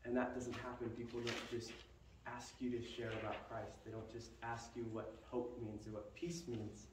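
A young man giving a halting, earnest ministry update in a large church sanctuary, heard from a distant camera with no microphone. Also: medium pitch, flat delivery. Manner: earnest, slow and halting with fillers. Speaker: missionary guest speaker. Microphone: far camera, no microphone. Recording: echoey hall from far off, background hiss.

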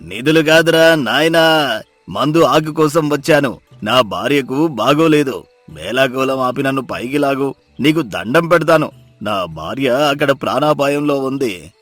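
A man's voice: medium pitch, animated, unhurried, fairly bright tone, dry recording, faint music behind. A man playing a stubborn, miserly farmer who grumbles and pleads dramatically in a moral story. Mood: pleading.

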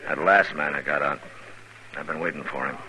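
An actor playing a steady, weary Old West lawman in a 1950s radio western, plainspoken and measured. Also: rumbling low, background noise. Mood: calm, resolved.

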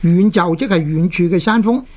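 Radio educator, sex open, Hong Kong Cantonese accent. Mid-pitched, didactic, phrase-by-phrase explanatory delivery. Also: darkish tone, highs cut off. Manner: didactic, deliberate, short phrases with drawn-out particles. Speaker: radio language teacher and presenter. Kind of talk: explanatory radio lecture on Chinese characters.

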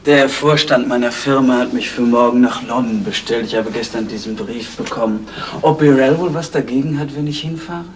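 A man's voice: medium pitch, moderate pace, close to an everyday voice, poor audio. An actor playing a polite, confident company man in a 1970s radio crime drama, speaking formally. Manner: formal, courteous. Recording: vintage radio recording, faint hiss.

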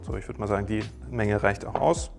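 A man's voice: on the low side, brisk, creaky, music in the background. Chatty young man explaining a recipe. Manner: friendly, chatty, explains step by step with small jokes. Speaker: cooking channel host. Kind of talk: casual cooking tutorial. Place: bright home kitchen studio, indoors.

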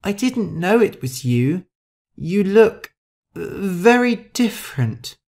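A woman's voice: mid-range voice, sing-song, slow pace, darkish tone, pitched above the performer's own voice, exaggerated voice. A woman voicing a timid, frightened, apologetic young woman in an audiobook story, speaking hesitantly. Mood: meek, apologetic. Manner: hesitant, faltering.

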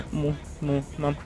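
A teenage boy chatting casually and playfully into a handheld camera as he vlogs on the move. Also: fairly high pitch. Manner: playful, teasing. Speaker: vlogger. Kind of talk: casual handheld travel vlog chat. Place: on the move, outdoors on streets and aboard transit. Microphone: handheld camera at arm's length.

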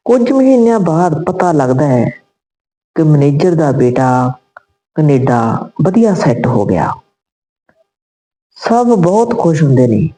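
A woman reading a scripted moral story as narrator, in measured phrases. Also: very deep voice, dark tone, rough recording.

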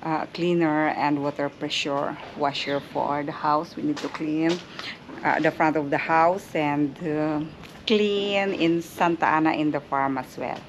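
A woman talks casually to her channel's viewers, searching for words with frequent ums and uhs. Her voice sits a little low and she speaks at a slow, unhurried pace. A steady outdoor ambience is clearly heard behind her on a camera mic. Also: highs cut off, slight background noise. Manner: hesitant, many ums and uhs. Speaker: vlogger. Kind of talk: casual vlog talk to camera. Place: outdoors at a rural farm house.

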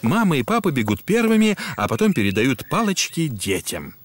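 A man's voice: on the high side, wildly swooping pitch, moderate pace, dry recording. A man reading scripted narration for a children's cartoon. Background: music.

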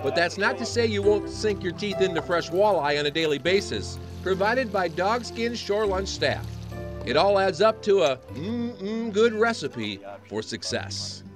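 A man's voice: sits high, very animated, unhurried. A man reading a polished, upbeat promotional voice-over. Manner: upbeat, polished sales delivery. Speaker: promotional narrator. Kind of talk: scripted voice-over narration. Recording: voice-over over loud music.

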